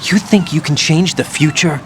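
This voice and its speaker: A man's voice: a little high, moderate pace, noisy background, lightly acted. An actor playing an idealistic, questioning young male soldier, incredulous and insistent, in a video game. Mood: skeptical. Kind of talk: dramatic video game acting.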